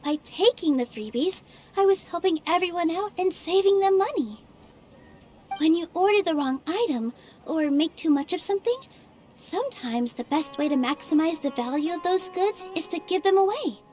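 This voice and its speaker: A woman voicing a kind, cheerful young female dancer who talks in a warm, reassuring way in a video game. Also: very high-pitched, expressive, moderate pace. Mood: gentle. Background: game music.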